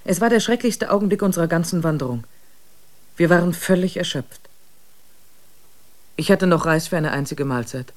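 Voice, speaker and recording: A man narrating a radio play in a measured, solemn storytelling voice. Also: on the high side, natural voice.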